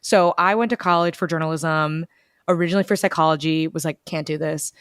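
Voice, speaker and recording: A young woman from the United States, talking casually as an interview guest over a video call, telling stories with lively quoted asides. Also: low-pitched, a little fast, clear, resonant tone, dry recording, clean studio sound. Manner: chatty, animated storytelling with quoted asides. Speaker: bookstore events director, guest.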